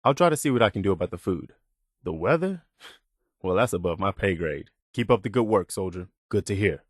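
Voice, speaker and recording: Dutiful post-apocalyptic militiaman, game dialogue, fairly deep voice, reassuring, plain-spoken, dry studio sound. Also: expressive, brisk, dry recording. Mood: steady, helpful. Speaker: video game companion character. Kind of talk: scripted game dialogue lines.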